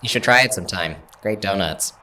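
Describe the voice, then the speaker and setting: A man's voice: deep voice, a little fast, pushed and bright. A man playing a grudging, sarcastic spacecraft mechanic in an audio drama, giving curt, reluctant answers. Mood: sullen, sarcastic.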